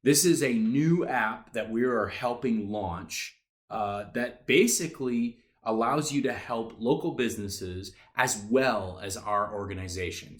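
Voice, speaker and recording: A man speaking informally as a theater presenter, making a chatty appeal to listeners. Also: medium pitch. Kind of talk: casual donation appeal to listeners.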